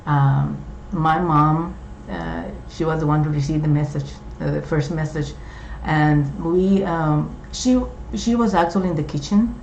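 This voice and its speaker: A middle-aged woman from Puerto Rico, with a Puerto Rican accent and Spanish as her first language, talking in a slow, halting way with many fillers. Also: sits low, darkish tone, a little hiss or hum. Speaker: co-host. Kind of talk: casual chat. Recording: dull, echoey room recording.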